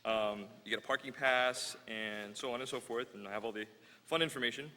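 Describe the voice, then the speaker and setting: A man's voice: mid-range voice, brisk, bright, forceful tone. A man representing a sponsor announces a raffle winner, hesitant and full of fillers. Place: large conference hall. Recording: reverberant hall with faint noise.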